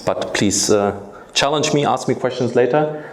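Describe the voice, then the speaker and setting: A man in his forties from Germany, a native German speaker, giving a hesitant talk to students in a school auditorium, pausing often on filler sounds. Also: mid-range voice. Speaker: guest speaker. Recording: echoey hall.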